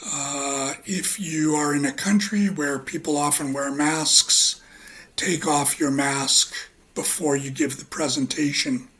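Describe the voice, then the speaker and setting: Male English teacher, husky voice, unhurried, halting lecture delivery. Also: a little high, steady tone, sharp tone. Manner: slow, halting, with many ums and uhs. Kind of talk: relaxed spoken lecture.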